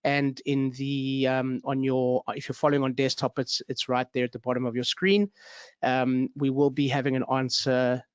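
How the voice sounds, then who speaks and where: A man's voice: medium pitch, flat delivery, normal speed, clear, resonant tone, no echo, muffled recording. A man, hosting an online webinar in a friendly, rambling way with many fillers and restarts. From South Africa. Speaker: webinar host, realtor.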